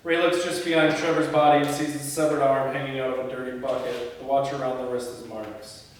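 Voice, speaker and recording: A man's voice: medium pitch, flat delivery, a little fast. A man leading a film script read-through, switching between casual planning talk and reading stage directions aloud. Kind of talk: casual production discussion mixed with reading screen directions aloud. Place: indoors in a reverberant room. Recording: very echoey room, distant mic, faint noise.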